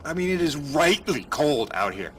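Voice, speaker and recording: An actor playing an easygoing working-class rig pilot with a folksy, wry way of talking. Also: male voice, a little high, sing-song, moderate pace, a little hiss or hum. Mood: tender, wistful.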